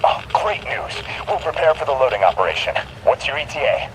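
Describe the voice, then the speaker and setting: An actor playing a brisk, businesslike male leader in a video game, heard over a radio. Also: medium pitch, sing-song. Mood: satisfied, brisk. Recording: noisy radio transmission.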